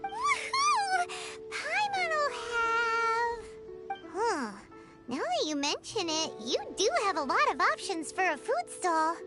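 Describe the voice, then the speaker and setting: A woman voicing a tiny, cheerful, food-obsessed fairy guide in a squeaky childlike voice for a video game. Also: slow, full character voice. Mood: excited, curious. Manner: excitable, speaks of self in third person. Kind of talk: video game character dialogue. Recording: music behind the voice.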